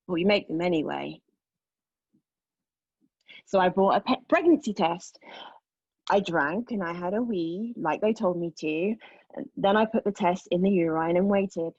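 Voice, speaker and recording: Actress doing a haunted young woman telling her painful story in a play, husky voice, halting and intense, dry slightly muffled recording. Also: on the low side, no room sound. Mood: anxious, oppressed. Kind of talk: dramatic monologue read at a table read of a play.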